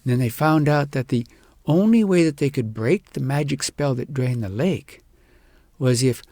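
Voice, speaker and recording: Male audiobook narrator for fairy tales, mid-pitched with some gravel, expressive measured read, dry close sound. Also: no echo. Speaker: audiobook storyteller and host. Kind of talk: scripted storytelling narration of a fairy tale.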